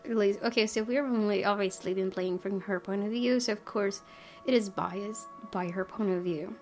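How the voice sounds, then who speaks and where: A woman with a mid-pitched voice does let's play commentary and reads story text aloud. Game music is clearly audible behind her. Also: fairly even intonation, moderate pace. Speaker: gaming video host.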